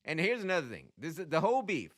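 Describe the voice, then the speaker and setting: A man giving casual solo commentary as a radio insider, talking in a slangy, animated way with a readiness to chuckle. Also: fairly high pitch, quick pace. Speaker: radio programmer and music commentator.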